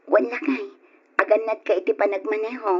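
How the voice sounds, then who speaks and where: An actress playing a dutiful, nagging working wife in conversational old radio drama style. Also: female voice, medium pitch, steady tone, brisk, breathy edge, highs cut off, natural voice. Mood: casual.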